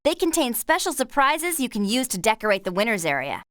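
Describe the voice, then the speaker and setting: A woman's voice: fairly high pitch, sing-song, fairly quick, fairly bright tone, no echo, studio quality. An actress playing a friendly, confident young woman who guides the player in a children's game, in a helpful, instructive manner. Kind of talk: scripted children's game voice acting.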